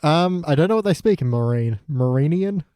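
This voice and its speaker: A man's voice: on the high side, lively intonation, a little fast, warm tone, clear, resonant tone, no echo, clean studio sound. A man hosting a podcast in casual chat, addressing people in a chummy, informal way. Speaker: podcast host.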